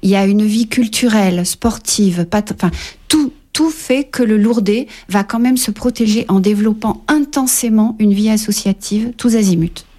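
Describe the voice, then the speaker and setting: A reflective woman author talks on a radio show, hesitating often with fillers as she gathers her thoughts. Her voice is mellow and soft-toned, at a middle pitch for a woman. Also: normal speed. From Paris, France. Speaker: author guest. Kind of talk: radio interview. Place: radio studio.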